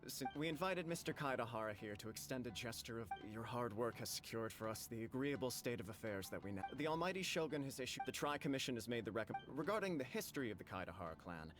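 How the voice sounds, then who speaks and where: An actor playing a courteous government envoy in a video game, speaking in a polite, wordy, formal manner. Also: male voice, medium pitch, sing-song, quick pace, few pauses, music in the background, close to an everyday voice. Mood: earnest, diplomatic. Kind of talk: scripted game dialogue.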